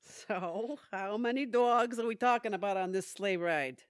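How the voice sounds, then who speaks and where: An actress playing a wry, grumbling older woman, sardonic and deadpan, in an audio comedy. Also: medium pitch, measured pace, clear, resonant tone, dry recording, studio quality, lightly acted. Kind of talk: audio theatre comedy acting.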